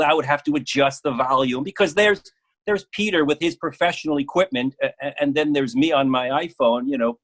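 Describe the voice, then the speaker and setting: A man speaking casually as an interview guest about his work, often halting and repeating words as he goes. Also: mid-range voice, fairly bright tone.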